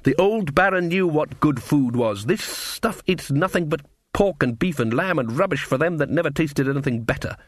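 A woman's voice: low voice, animated, moderate pace, few pauses, dry recording, studio quality. A man voicing a proud, knowing middle-aged woman cook with folksy, emphatic dialect for a storybook. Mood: scornful.

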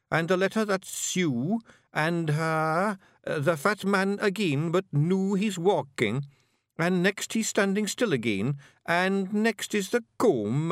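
Man voicing a tiny boastful Scottish fairy chief, high and lively, gruff, dry booth. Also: no room sound, exaggerated voice. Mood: laboured reading. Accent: broad Scottish. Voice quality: gruff, boastful, quick to bristle. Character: small brash male fairy clan chief, blustering and proud.

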